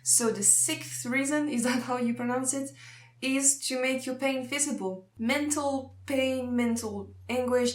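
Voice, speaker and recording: A woman's voice: fairly high pitch, echoey room. A young woman from Switzerland whose first language is French, speaking English with a French accent, earnestly explaining a topic in a vlog. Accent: Swiss French. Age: young adult. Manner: earnest, explanatory, pauses to find words. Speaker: social work student. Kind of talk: informational vlog.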